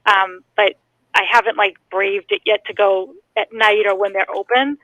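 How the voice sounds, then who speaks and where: A woman's voice: medium pitch, fairly even intonation, normal speed, fairly bright tone, no echo, poor audio. A woman from Massachusetts with a Boston accent, calling in to a live podcast and talking hesitantly with lots of ums and laughs. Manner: hesitant, many ums, giggly. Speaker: caller. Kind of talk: casual call-in chat.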